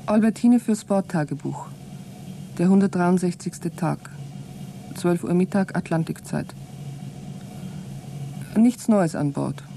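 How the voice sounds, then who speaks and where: A woman's voice: fairly low pitch, slow, vocal fry, pauses often, dark tone, a little hiss or hum. An actress playing an anxious but defiant woman trapped aboard with her partner in a radio play. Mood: uneasy, weary. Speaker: radio drama actor. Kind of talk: German radio play acting, spoken logbook monologue.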